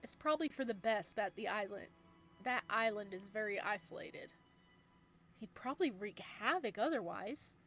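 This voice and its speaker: A woman voicing an ancient male god from a visual novel, gentle and soft-spoken, stammering when flustered. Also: mid-range voice, animated, normal speed, soft background music. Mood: calm. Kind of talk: visual novel dialogue read aloud.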